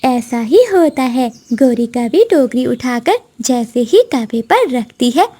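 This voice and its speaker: A woman tells a children's story in a very high voice. Her scripted narration is slow and clearly paced, with animated rises and falls.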